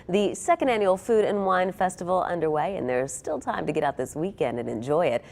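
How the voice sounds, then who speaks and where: A woman's voice: on the low side, expressive, fairly quick, vocal fry, darkish tone. A woman anchoring a morning TV newscast, reading a polished scripted lead-in to a live report. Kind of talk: scripted studio news reading.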